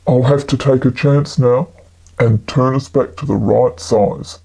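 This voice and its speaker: A woman voicing a calm, commanding male magician in a storybook, speaking in a deliberate, reassuring way. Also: extremely low pitch, creaky, breathy edge, pitched below the performer's own voice, exaggerated voice. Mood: urgent.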